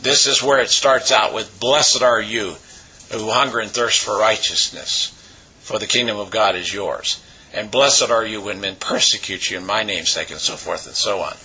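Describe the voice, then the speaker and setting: A man with a raspy voice, hoarse from a cold, preaching a sermon as pastor with many pauses and fillers. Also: lowish voice, bright, forceful tone, lo-fi sound.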